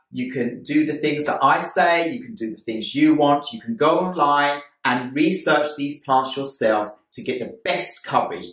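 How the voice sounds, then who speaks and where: A man's voice: mid-range voice, a bit bright. A middle-aged man talking casually to camera as a plant vlogger, explaining things chattily and repeating his points in other words. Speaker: plant vlogger, amateur gardener. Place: indoors, small bright room by a window. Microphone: camera a few steps away. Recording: echoey room, distant camera mic.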